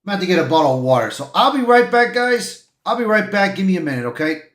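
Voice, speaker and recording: A man's voice: high-pitched, big pitch swings, fast, fairly bright tone, roomy sound. A man hosting a livestream, reading articles aloud with casual, opinionated commentary and the odd stumble over words. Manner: informal, opinionated, punctuated with "bro", "man" and "dude". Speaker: livestream host.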